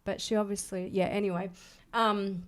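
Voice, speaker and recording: A woman's voice: mid-range voice, level tone, moderate pace. A woman with an Australian accent, a songwriting coach speaking reflectively with many fillers and restarts in a casual interview. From Scotland.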